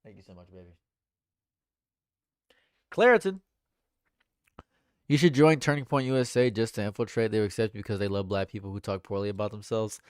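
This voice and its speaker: A young man with a stuffed-up nose, reading chat comments on a livestream in a dry, deadpan way. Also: fairly low pitch, brisk, pauses often, smooth, no echo. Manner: dry and deadpan, laughs at own jokes. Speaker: livestream host. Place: home room.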